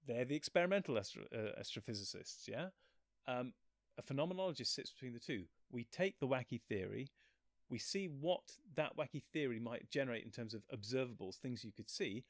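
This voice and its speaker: A man with a medium-pitched voice talks casually as a guest in an interview. He rambles in a self-deprecating way and often breaks off mid-thought to start again. The sound is dry, with little room around it. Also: dry recording. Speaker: physicist and author. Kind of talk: casual chat.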